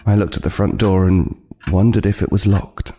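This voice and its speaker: An actor playing an uneasy homeless man who narrates his horror tale in a halting, brooding way. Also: deep voice, steady tone, dark tone. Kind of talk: dramatic first-person horror narration. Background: music.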